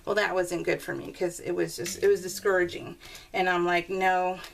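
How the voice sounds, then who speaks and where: A woman's voice: lowish voice, normal speed, gravelly, slight background noise. Chatty woman, slightly distant mic. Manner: chatty, animated when retelling conversations. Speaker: crafting vlogger. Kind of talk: casual chat, rambling personal storytelling over a craft process video. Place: indoors at a craft table.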